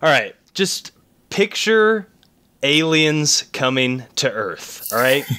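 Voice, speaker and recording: A man co-hosting a podcast, giving a theatrical, playful closing pitch in a casual debate. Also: big pitch swings, slow, bright, forceful tone, clean tone. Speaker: podcast co-host.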